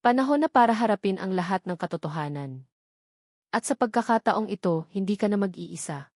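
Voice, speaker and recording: A woman's voice: medium pitch, lively intonation, brisk, darkish tone, no echo, studio quality, lightly acted. A woman voicing a resolute, devoted young man in a romance audio drama, speaking in a solemn, declarative way. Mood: solemn resolve. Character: resolute young man defying his family, devoted, earnest.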